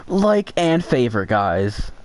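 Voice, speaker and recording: A man's voice: medium pitch, big pitch swings, slow. A man co-hosting a podcast, riffing silly jokes in casual chat. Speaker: podcast co-host.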